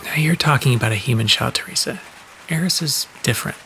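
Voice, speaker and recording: A man's voice: mid-range voice, expressive, quick pace, gravelly, pushed and bright, rough recording. A man in an audio drama playing a kindly, easygoing, slightly sheepish guy with casual, rambling phrasing. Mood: friendly, puzzled. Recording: faint rain noise behind the voice.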